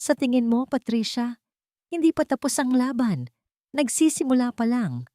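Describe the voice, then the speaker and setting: A woman's voice: fairly high pitch, big pitch swings, moderate pace, warm tone, no echo, clean studio sound, voice pushed up. A woman voicing a determined male boss in an audio storybook drama, speaking firmly and earnestly. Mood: defiant. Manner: firm, earnest, declarative.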